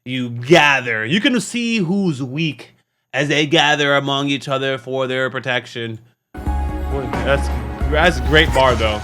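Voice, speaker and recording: A man hosting a casual, unscripted reaction video, talking in an animated, slangy way and often repeating himself. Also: mid-range voice, slow, music in the background. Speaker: YouTube reaction host.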